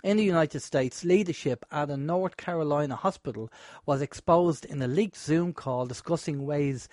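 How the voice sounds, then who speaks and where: A man hosting a radio show, chatty and rambling, a bit hoarse from a sore throat. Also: fairly high pitch, moderate pace, a little mellow, no echo, clean studio sound. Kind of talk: casual radio talk.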